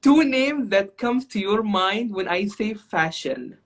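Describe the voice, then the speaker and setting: A man's voice: sits high, animated, slow. A man hosting an interview, upbeat and guiding the segments. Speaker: talk show host.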